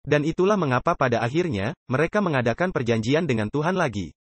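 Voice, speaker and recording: A man's voice: medium pitch, animated, quick pace, dry recording. Earnest male preacher, dry close sound. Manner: earnest, emphatic, with rhetorical questions to listeners. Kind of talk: dubbed sermon.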